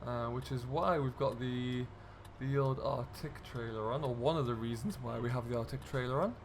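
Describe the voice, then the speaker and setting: British man, casual gameplay commentary, chatty, thinking aloud, mid-pitched voice, clear vehicle noise behind. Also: normal speed. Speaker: gaming video host playing a farm contractor.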